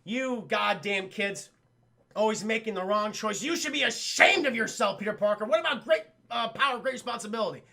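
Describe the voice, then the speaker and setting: A man voicing a disapproving male doctor in a cartoon recap, lecturing loudly and indignantly. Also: high voice, brisk, gravelly, heavily acted. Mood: scolding.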